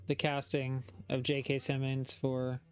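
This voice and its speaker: A man's voice: medium pitch, monotone, unhurried, clean tone. A man giving casual reaction commentary as a host, often thinking aloud and hesitating.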